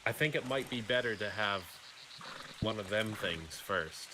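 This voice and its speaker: A scheming, hard-drinking Old West doctor played by a man in a game roleplay talks in a halting, rambling way. His pitch swings widely over clearly noisy voice chat. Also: animated. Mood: hesitant, bargaining. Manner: halting, rambling, repeats himself. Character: hard-drinking, scheming frontier doctor offering shady jobs. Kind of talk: improvised western roleplay in character.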